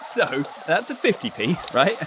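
An actor with an English accent playing a shy, earnest young exchange student, hesitant and apologetic, in a radio drama. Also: male voice, on the high side, big pitch swings, measured pace, noisy background. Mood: chatty.

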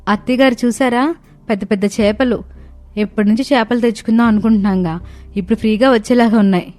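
A woman voicing an eager, cheeky young daughter-in-law in an animated story, excited and chatty. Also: on the high side, fast, soft background music, close to an everyday voice. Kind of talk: animated moral story dialogue.

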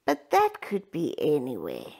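A woman voicing a shy, gentle female bunny who speaks softly and hesitantly. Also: sits low, huge pitch swings, measured pace, creaky, pitched below the performer's own voice, exaggerated voice. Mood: wistful.

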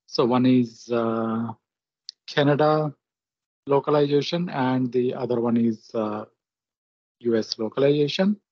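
A man presenting a software tutorial step by step, in halting, short instructional phrases, on muffled screen-recording audio. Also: mid-range voice, husky, no room sound. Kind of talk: step-by-step software demo narration.

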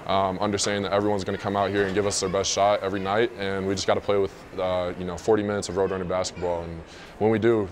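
A young man, a college basketball player speaking in a relaxed, modest way in a post-game interview on a lapel mic. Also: low-pitched, fast, slight background noise. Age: young adult. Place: indoor arena interview backdrop.

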